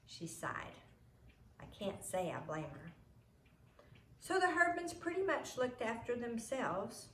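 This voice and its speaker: A woman reading a children's book aloud as a storyteller, in an expressive way. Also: on the high side, takes it slowly, long pauses. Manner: expressive, acts out the dialogue. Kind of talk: storybook read-aloud narration. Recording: roomy echo, noisy home recording.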